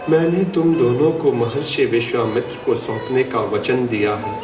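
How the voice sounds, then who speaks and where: A man's voice: medium pitch, moderate pace, natural voice. Man acting a noble, anguished king, distant and echoing. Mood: grave, resigned. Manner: formal, reverent, solemn declamation. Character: noble, anguished king and doting father, deferential to sages. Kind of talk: theatrical Hindi mythological audio drama. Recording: roomy distant playback with music behind.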